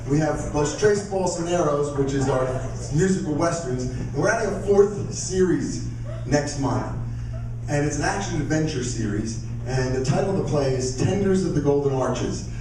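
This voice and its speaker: A man's voice: fairly high pitch, background noise. An older man hosting a live radio theater show with casual, comic stage banter. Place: performing arts center stage before an audience. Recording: very echoey hall, distant mic, crowd.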